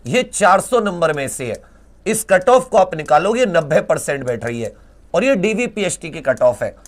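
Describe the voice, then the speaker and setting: A man's voice: fairly high pitch, sing-song, moderate pace. A man explaining exam results to students as a teacher, informally and emphatically, repeating points and asking rhetorical questions. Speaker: exam-prep teacher and presenter.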